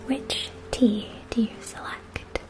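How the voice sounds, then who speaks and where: A woman whispering a gentle, soothing ASMR fantasy roleplay, unhurried and carefully enunciated, addressing the listener directly. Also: over music, rough recording. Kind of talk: whispered ASMR, second-person fantasy story with choices.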